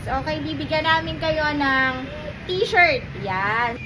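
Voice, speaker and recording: Casting: female shop presenter, high voice, informal product-showcase patter, recorded amid clear vehicle noise. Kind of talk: casual product showcase for customers. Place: motorcycle gear store. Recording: noisy, with vehicle sounds behind.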